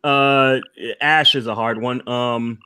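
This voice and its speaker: A man's voice: mid-range voice, steady tone, pushed and bright, a little hiss or hum. An adult man co-hosting a casual podcast, playful and easygoing, laughing often. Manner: playful, slow and drawn-out, laughs a lot. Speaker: podcast co-host doing voice impressions.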